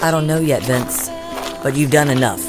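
A woman as a low-voiced, wry overseer. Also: moderate pace, over music. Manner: wry, rambling. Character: wry, weary female overseer, a community leader.

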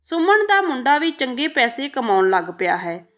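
A woman's voice: sits high, big pitch swings, moderate pace. A woman narrating an emotional moral story from a script as a storyteller.

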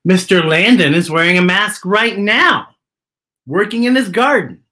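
A man voicing a gentle picture-book grandfather who explains things in a deliberate, reassuring way. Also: sits high, lively intonation, pitched above the performer's own voice, exaggerated voice. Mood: pointing out.

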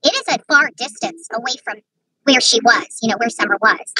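A woman vlogger giving casual, rambling commentary to her viewers in an earnest, halting way, repeating herself. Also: a little high, bright, forceful tone, distant mic, rough recording.

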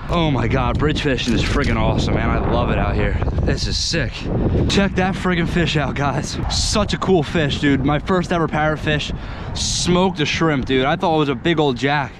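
A young man filming his own fishing trip on a bridge outdoors, talking casually and getting excited, calling everyone "dude". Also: fairly high pitch, moderate pace, heavy background noise. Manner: excitable, says "dude" constantly. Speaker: fishing vlogger. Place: outdoors on a bridge over water. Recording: loud music behind the voice.

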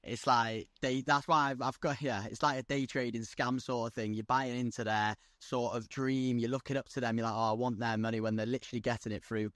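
A young man from North Wales talks on a video call from outdoors, in a middle-pitched voice. He speaks bluntly and chattily, and the sound is dry and close. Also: fairly even intonation, fairly quick, hardly any pauses, a bit bright, no room sound. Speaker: guest, day trader. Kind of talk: casual video-call interview. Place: outdoors on a lawn by the coast.

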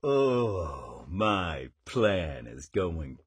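An actor playing a sadistic, gleefully menacing ice cream man villain in a horror game, with a raspy, gravelly, taunting and theatrical delivery. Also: deep voice, sing-song, very slow, no room sound, heavily acted. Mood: low, sinister.

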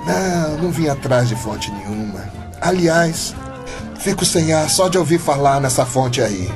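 A man's voice: fairly high pitch, lively intonation, a little slow, music in the background. An actor with a Brazilian accent playing an earnest, stubborn, lovestruck eighty-year-old man in a radio drama. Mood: urgent, puzzled.

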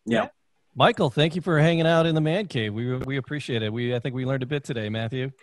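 A man's voice: mid-range voice, quick pace, no echo. A middle-aged man hosting a casual talk show from a home office on a studio microphone, laid-back and jokey. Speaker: podcast host. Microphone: studio microphone with headphones.

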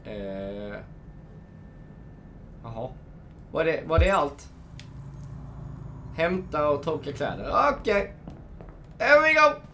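A man's voice: fairly high pitch, big pitch swings, pauses often, sharp tone, a little hiss or hum. A young man hosting a let's play, chatting casually, gushing and reading the game's menus aloud at his home setup. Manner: chatty, reads game menus aloud, gushes. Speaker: gamer, channel host. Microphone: desk microphone.

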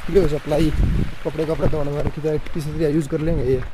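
A man's voice: a little high, flat delivery, quick pace. A man in his thirties chatting casually to viewers as he vlogs a road trip, filming on a handheld phone outdoors and from a car. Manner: chatty, keeps calling viewers "brother". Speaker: travel vlogger. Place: outdoors on mountain roads and in a car. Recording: noisy outdoor phone recording.

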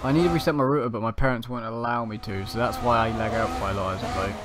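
A man's voice: medium pitch, fairly even intonation, very fast, heavy background noise. A young man chatting casually as co-commentator on an online racing stream. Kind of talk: casual chat.

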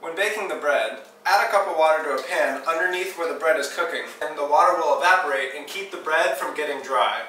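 A young man co-hosting a home cooking tutorial, cracking silly deadpan jokes as he explains the steps. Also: a little high, brisk, few pauses, distant mic, slight background noise. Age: young adult. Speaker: cooking show co-host. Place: small home kitchen, indoors. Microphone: camera mic from a distance. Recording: echoey kitchen from off-mic.

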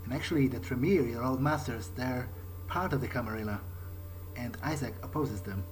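A man's voice: mid-range voice, moderate pace, over music, rough recording. A man improvising in character during a video game, hesitant and stammering, often repeating words, with game ambience behind him. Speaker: gaming video host playing in character.

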